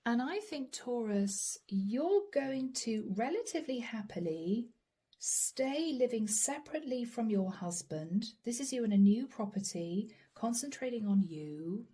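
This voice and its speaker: A woman doing a channeled tarot reading straight to the viewer, earnest and apologetic, her voice going hoarse. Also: on the high side, expressive, unhurried, mellow. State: losing her voice. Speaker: tarot reader.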